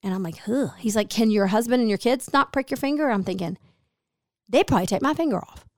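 A middle-aged woman chatting on her podcast, telling stories and playing out both sides of the conversation. Her voice is a little gravelly and hoarse, with a medium pitch. The sound is close and dry. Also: quick pace, no echo. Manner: chatty storyteller, acts out quoted dialogue. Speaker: podcast host. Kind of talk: casual chat.

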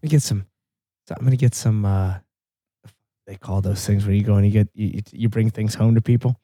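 A man hosting a casual group chat, his voice going hoarse, loose and swearing as he laughs. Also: on the low side, fairly even intonation, a little fast, warm tone, dry recording, clean studio sound. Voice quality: husky. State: losing his voice. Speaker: podcast host. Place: wood-panelled vacation room.

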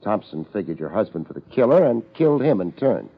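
Deep, husky, wry private eye. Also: male voice, brisk, heavily acted. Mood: quiet, probing. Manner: deadpan, understated. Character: laid-back, dryly wry male private detective. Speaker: lead actor in radio detective drama. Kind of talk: 1950s hardboiled radio drama acting. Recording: muffled 1950s radio recording.

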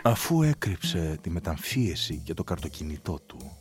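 A man narrating a radio play in a playful, theatrical way, dropping to hushed murmurs and swinging into sung phrases. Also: low-pitched, big pitch swings. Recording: studio radio play with loud music underneath. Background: music.

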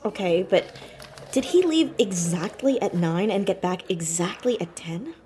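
Teen girl detective actress, inquisitive and dry, natural audio-drama delivery, very animated intonation, faint ambience behind. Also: medium pitch, measured pace, a little hiss or hum, lightly acted. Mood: pensive, probing. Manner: inquisitive, dry, hesitant on personal matters. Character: Max, curious sharp-witted teenage girl sleuth, orphaned.

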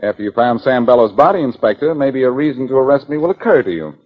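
Wisecracking 1940s radio sleuth, male actor: husky, glib, lively mid-pitched delivery, dull lo-fi recording. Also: quick pace, close to an everyday voice. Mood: sardonic, insistent. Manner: sardonic, teasing, glib. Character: cocky, wisecracking male amateur detective, taunting police. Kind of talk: 1940s radio detective drama acting.

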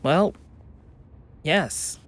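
A woman playing a loving, patient, academic mother in an audio drama, explaining things gently and thoughtfully, with some hesitation. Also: very slow. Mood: thoughtful, hesitant.

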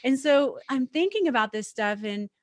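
A woman's voice: sits high, lively intonation, moderate pace, dry recording. Reflective woman in her forties, thinking aloud. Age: 40s. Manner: reflective, thinking out loud with many restarts. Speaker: podcast host. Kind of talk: casual conversational interview.

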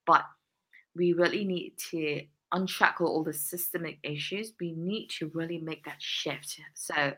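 Podcast guest: woman, lower pitch, bright tone, earnest and laughing often. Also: unhurried, slightly rough. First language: English. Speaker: guest, workplace diversity consultant. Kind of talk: podcast interview answers.

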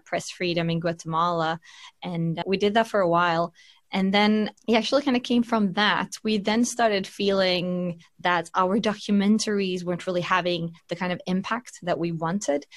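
A woman from Finland, a venture capital partner, talking through her background in a relaxed interview, with frequent fillers and restarts. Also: on the low side, no echo. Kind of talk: conversational podcast interview.

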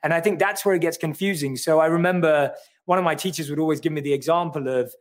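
A man's voice: on the high side, fast. A man from London with a London accent, an author explaining his ideas in an earnest, reflective way during a livestream conversation. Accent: London English. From London, England. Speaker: author and guest.

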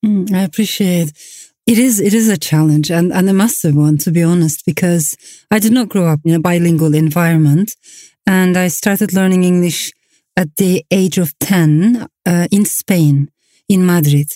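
Middle-aged turkish-accented woman, thoughtful interview answers, warm low voice, dry sound. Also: no room sound. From Turkey. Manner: thoughtful, measured, with soft fillers. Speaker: novelist guest. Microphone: remote line from home.

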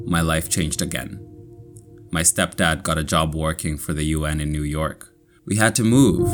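Young Kenyan man, very low voice. Measured, clear scripted storytelling. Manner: slow, clear, measured reading. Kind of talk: scripted personal story for learners.

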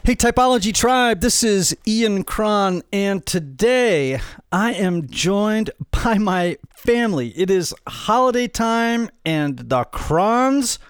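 A man's voice: sits high, animated, slow pace, clear, resonant tone, no echo, studio quality. A middle-aged man hosting a casual family podcast, upbeat and joking, rambling a little with fillers. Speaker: podcast host.

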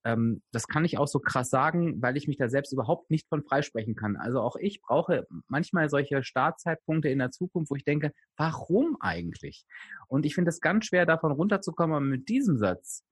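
A man co-hosting a podcast in a conversational, motivational style, speaking emphatically and directly to the listener with a slightly husky voice. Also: a little high, fairly quick, few pauses, no room sound, studio quality. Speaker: podcast co-host.

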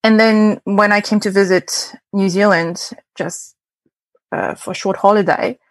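A young woman with a French accent talks casually as an interview guest, often circling back and restarting her sentences between small laughs. Her pitch sits in the usual middle for a woman, and the recording is very dry, with no room sound at all. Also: fairly even intonation, a little slow, no room sound. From France. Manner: chatty, laughing, many restarts and fillers. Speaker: guest data scientist.

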